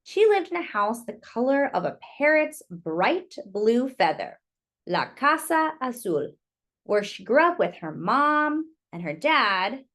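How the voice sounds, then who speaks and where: A woman's voice: on the high side, sing-song, slow, fairly bright tone. A woman reading a picture book aloud to young children, expressively and with little asides to her listeners.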